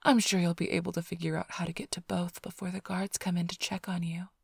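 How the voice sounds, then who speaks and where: A woman playing a flirtatious, smug vampire thief in an intimate ASMR roleplay, teasing and sly in a breathy, often whispered voice. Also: fairly low pitch, little pitch movement, quick pace, no room sound, clean studio sound. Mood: mocking, playful. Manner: teasing, purring, theatrically sly. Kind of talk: ASMR roleplay, intimate close address to listener.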